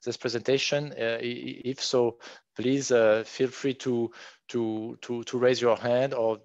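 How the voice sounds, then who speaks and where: A man in his forties with a strong French accent, moderating an online workshop over a headset on a video call, halting with many fillers and restarts. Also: mid-range voice, flat delivery, a little mellow, dry recording. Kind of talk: online meeting facilitation. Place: home room, virtual background. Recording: dull, dry video call audio.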